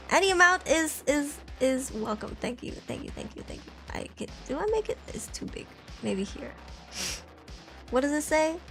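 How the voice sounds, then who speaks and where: Chatty young woman streamer, higher voice. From Malaysia. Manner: chatty, laughs often, lively jumps in pitch. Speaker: live streamer. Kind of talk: casual live-stream chat, reading donation messages. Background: faint background music.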